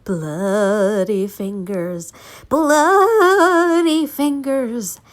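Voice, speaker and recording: A woman voicing an eerie, mournful storybook ghost with drawn-out moaning. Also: a little high, lively intonation.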